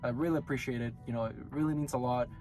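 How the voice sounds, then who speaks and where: Sick, raspy-voiced man reviewing anime. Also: medium pitch, steady tone, racing, over music. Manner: rambling, self-correcting. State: sick, hoarse. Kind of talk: casual video review.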